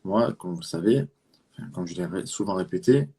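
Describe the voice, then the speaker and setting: A man's voice: low voice, animated, fairly quick, dark tone, husky. A man from Marseille with a southern French accent giving an earnest religious talk, urging the listener with rhetorical questions and repetition. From France (Marseille). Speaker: Islamic preacher.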